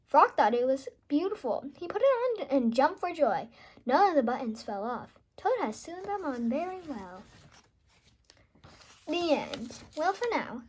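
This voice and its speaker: Child female reader, high-pitched and animated, emphatic storybook delivery. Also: measured pace. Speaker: host and reader of a children's read-aloud. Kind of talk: read-aloud storytelling.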